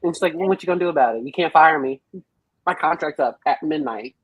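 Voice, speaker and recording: A woman's voice: sits low, fast, husky, dry recording, highs cut off. A woman chatting casually as a podcast panelist about a film, slangy, profane and given to theatrical retellings. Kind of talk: casual animated chat.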